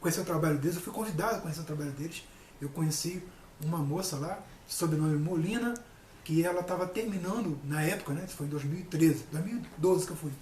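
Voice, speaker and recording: A man's voice: a little high, fast, a little mellow. A man, middle-aged, giving an informal talk as a lecturer, earnest and emphatic, repeating phrases for stress. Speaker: university lecturer and guest speaker. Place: indoor room with people present. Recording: echoey room, distant mic, faint noise.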